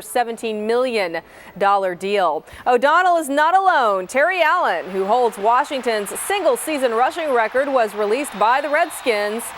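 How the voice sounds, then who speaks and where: A woman sports anchor reading scripted sports news in a TV broadcast. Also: fairly high pitch. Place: TV news studio. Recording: faint background noise, 1990s TV broadcast.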